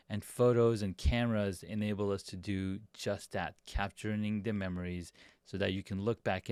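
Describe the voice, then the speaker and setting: Guest spot: man in his middle years, low and smooth-voiced, raised in Pakistan, Saudi Arabia and the US, upbeat chatty storyteller. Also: darkish tone, no echo, studio quality. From grew up in Pakistan, Saudi Arabia and the US. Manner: upbeat, chatty, talks with some restarts. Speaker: guest, designer and videographer. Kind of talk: casual storytelling on a video podcast.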